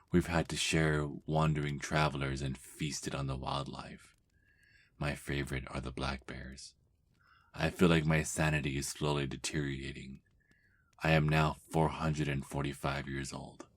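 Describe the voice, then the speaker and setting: Male, actor as ancient but youthful-bodied vampire, extremely low and flat in pitch, weary, brooding diary narration. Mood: despairing. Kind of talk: dramatic diary monologue, ASMR audio roleplay.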